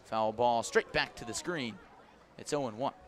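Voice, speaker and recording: A man's voice: medium pitch, moderate pace. A man giving live radio play-by-play of baseball, in clipped descriptive phrases that rise with the action. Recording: noisy outdoor ballpark ambience.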